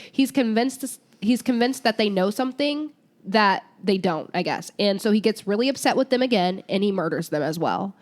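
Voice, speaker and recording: A woman co-hosting a casual true crime podcast, a little sick and stuffy, chatty and teasing. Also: mid-range voice. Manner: chatty, teasing, laughs often. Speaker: podcast co-host.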